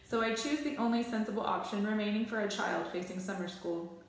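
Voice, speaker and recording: A woman's voice: medium pitch, brisk. A woman in her thirties reading a children's storybook aloud with expression. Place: small bare room indoors. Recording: very echoey small bare room.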